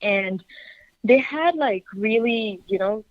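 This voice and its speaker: A woman from Bangladesh, a documentary filmmaker, talking on the phone in a soft, searching way with many fillers. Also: fairly high pitch, expressive, takes it slowly, no echo. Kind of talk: phone interview. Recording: muffled phone line.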